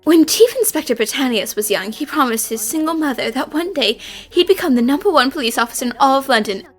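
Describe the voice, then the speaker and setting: A woman voicing a confident, cheeky young female detective in an anime fan dub, brisk, smug and theatrical, with music underneath. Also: high voice, animated. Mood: confident, accusing.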